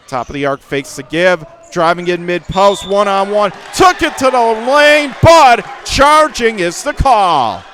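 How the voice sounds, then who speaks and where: An American man calling live basketball play-by-play for radio in clipped phrases that rise with the action, from a high school gym. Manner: rattles off clipped phrases, rising to excited calls on plays. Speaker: play-by-play commentator. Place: indoor high school gymnasium.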